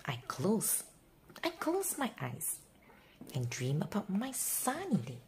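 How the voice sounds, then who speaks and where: A woman reading a children's bedtime story aloud, with expressive, dramatic phrasing and drawn-out words. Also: mid-range voice. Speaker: picture book reader. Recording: roomy echo, faint background noise.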